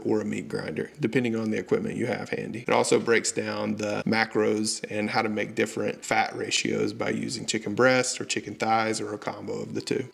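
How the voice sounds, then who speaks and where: Low gravelly man explaining a recipe. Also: a bit bright. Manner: friendly, measured instructional delivery. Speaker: cooking channel host. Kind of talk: scripted recipe walkthrough. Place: home kitchen, indoors. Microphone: studio mic on stand in frame.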